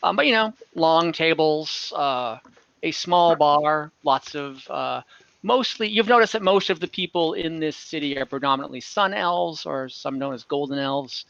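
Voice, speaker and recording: A man improvising game master narration in a halting, informal, jokey way over a video call. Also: fairly high pitch, gravelly, fairly bright tone, dry recording. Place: indoors at home on a video call. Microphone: computer or headset mic on a video call. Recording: dull, compressed video call audio.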